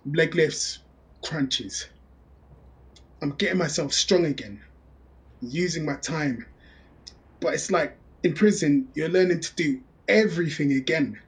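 Restless male prisoner, slow delivery, plain-spoken, determined to rebuild himself, drama monologue. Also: a little high. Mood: determined, frustrated. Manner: plain-spoken, slangy, counting through exercise sets.

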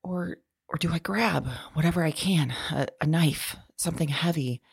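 A woman playing a frightened, anguished woman awaiting arrest in an audio drama, speaking haltingly and often dropping into breathy whispers. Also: sits low, no echo, clean studio sound, heavily acted. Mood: fearful agonizing.

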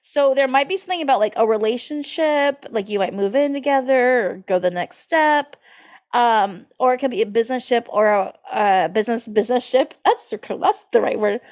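A woman's voice: a little high, fairly quick, a little mellow. A woman co-hosting a podcast, chatting casually and playfully in loose comedic riffs. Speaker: podcast co-host. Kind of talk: casual comedic chat about horoscopes.

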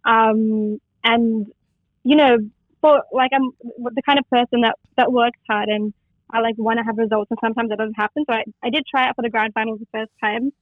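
A woman's voice: fairly high pitch, steady tone, fairly quick, no room sound. A young woman from Western Sydney, a poet speaking as a guest over the phone, enthusiastic and reflective. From Western Sydney, Australia. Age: young adult. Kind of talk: phone interview. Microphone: phone line. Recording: tinny dry phone line.

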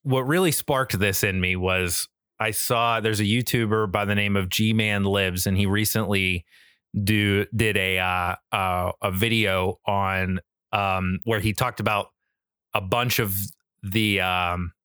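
A man's voice: on the low side, steady tone, slow pace, fairly bright tone, clear, resonant tone, no echo, studio quality. An American man in his thirties chatting casually as a podcast co-host, enthusiastic, rambling and freely profane. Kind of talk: casual chat.

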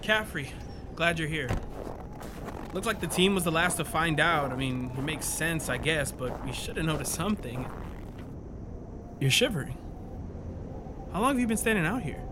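A man's voice: fairly high pitch, lively intonation, moderate pace, long pauses, background noise, lightly acted. A man playing a harried scientist father in an audio drama, rambling yet earnest, in a naturalistic way. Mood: gentle, resolute. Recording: loud music and effects behind.

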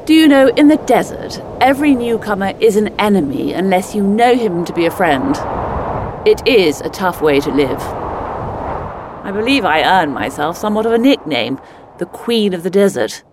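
A woman's voice: medium pitch, sing-song, moderate pace, background noise, lightly acted. An actress playing a spirited, headstrong upper-class lady explorer who looks back in a genteel, emphatic dramatic monologue. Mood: proud, confiding. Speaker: actor reading a monologue. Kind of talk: scripted first-person dramatic monologue.

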